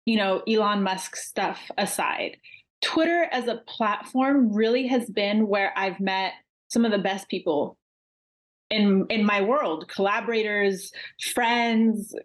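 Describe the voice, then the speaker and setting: A woman's voice: mid-range voice, slow. A woman guest born in Iran and raised in the United States, talking frankly and animatedly with many ums and likes. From Iran, raised in the USA. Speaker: podcast guest, business coach. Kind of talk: casual interview.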